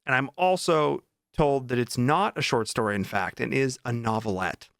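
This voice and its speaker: A man's voice: mid-range voice, normal speed, gravelly, smooth, dry recording, studio quality. A man chatting casually as a vlog host, informal and enthusiastic about his writing. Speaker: vlog host and indie author.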